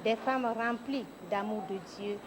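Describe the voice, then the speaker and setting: A woman's voice: a little high, fairly even intonation, unhurried. A woman giving an earnest testimonial as a former pupil. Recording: clear background noise.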